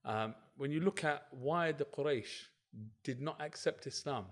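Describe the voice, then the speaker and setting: A man explaining his view as a religious scholar in a discussion, in short, emphatic, deliberate phrases. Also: on the high side. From Britain.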